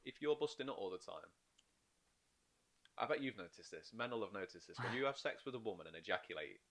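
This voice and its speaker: A man's voice: lowish voice, brisk. A man chatting on a podcast as a life coach, explaining eagerly and acting out inner voices. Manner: animated, explains in bursts with quoted inner voices. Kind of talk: casual podcast chat.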